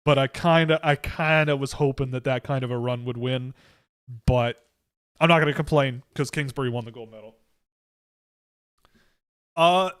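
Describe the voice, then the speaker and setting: A young man hosting a livestream, rambling excitably with frequent restarts. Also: fairly high pitch. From Canada. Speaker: livestream host. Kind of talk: casual livestream chat.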